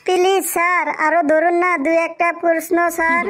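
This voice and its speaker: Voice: extremely high pitch, level tone, fairly quick, fairly bright tone. A performer voicing a cheeky, naive schoolchild in a comic cartoon, pleading and earnest in manner. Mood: eager, cheeky.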